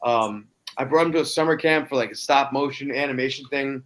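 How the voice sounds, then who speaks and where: Laid back guy, late 30s, rambling podcast guest, mid pitch. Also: fairly quick, a bit bright. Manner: easygoing, rambling storyteller. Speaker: guest cosplayer. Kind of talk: casual podcast chat.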